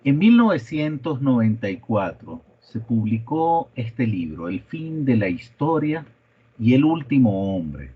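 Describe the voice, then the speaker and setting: A man who is a native Spanish speaker giving a guest economics lecture in a measured way, with hesitant fillers. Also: medium pitch, big pitch swings, breathy edge, phone-quality sound. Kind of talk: lecture presentation.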